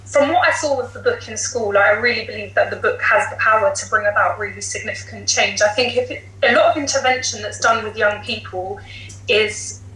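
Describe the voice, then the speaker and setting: A woman's voice: mid-range voice, brisk, bright, forceful tone. A woman with a British accent, a teacher and podcast guest, explaining her views in an articulate, emphatic way. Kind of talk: considered discussion. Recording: roomy echo, light background noise.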